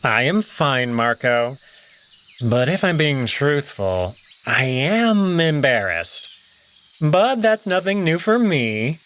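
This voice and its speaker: A man voicing a young male alien prince and diplomat, speaking in a measured, formal, unhurried way. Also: on the high side, very animated, a bit bright, a little hiss or hum, full character voice. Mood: wry.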